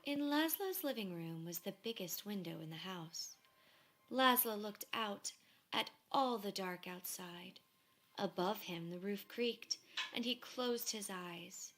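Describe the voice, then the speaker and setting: Woman, storybook narrator, slow measured pace, middle pitch, expressive scripted reading, hushed phrase endings. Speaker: storybook reader.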